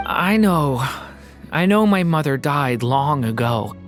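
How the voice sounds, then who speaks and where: Slow, soft-voiced earnest boy. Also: low-pitched, animated. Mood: wistful, sad. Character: gentle, earnest young boy grieving his mother. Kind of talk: dramatic video game voice acting. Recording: music behind the voice.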